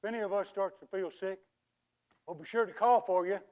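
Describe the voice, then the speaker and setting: An actor playing a smarmy con man who poses as a pious, grieving English uncle, with theatrical, drawn-out oratory in a literary comedy. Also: sits high, highs cut off, close to an everyday voice. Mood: smug, ingratiating. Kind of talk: dramatic acting in a literary comedy.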